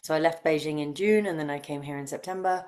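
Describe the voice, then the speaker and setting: Low-voiced English woman. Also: quick pace, a little mellow. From UK, grew up near London. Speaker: interview guest, breathwork facilitator. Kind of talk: conversational interview answers.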